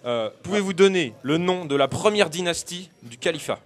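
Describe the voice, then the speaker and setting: A young man hosting a lively student radio quiz debate, playful and joking with apologetic asides. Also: fairly high pitch, moderate pace, slight background noise. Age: young adult. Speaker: quiz host.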